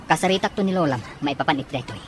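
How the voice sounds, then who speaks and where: A man's voice: a little high, quick pace, a little hiss or hum, close to an everyday voice. A man playing a concerned, dutiful father in an Ilocano drama, speaking earnestly. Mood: worried, caring. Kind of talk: Ilocano radio drama acting.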